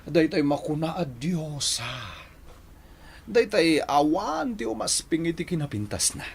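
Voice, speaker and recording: An actor playing a vain, teasing, choosy bachelor son in an Ilocano radio drama, boastful and quick to laugh. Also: male voice, fairly high pitch, very animated, vocal fry, husky, full character voice. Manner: boastful, laughing, swinging delivery.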